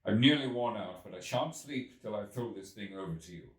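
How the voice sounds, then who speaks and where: A man voicing a worn-out, earnest and insistent Victorian gentleman inventor in an audiobook, speaking emphatically. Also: fairly low pitch, expressive, brisk. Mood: weary, insistent. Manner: emphatic, weary, declarative. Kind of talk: audiobook character voice. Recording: roomy echo, distant from mic.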